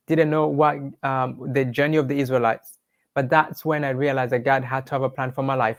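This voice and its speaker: A man's voice: mid-range voice, no echo. A man with a Rwandan accent shares his personal testimony as an interview guest, speaking in an earnest, devout storytelling way.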